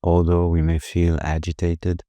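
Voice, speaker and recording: Male meditation guide, deep voice, soft mellow tone, slow soothing phrasing, unhurried pauses. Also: completely flat delivery, no room sound.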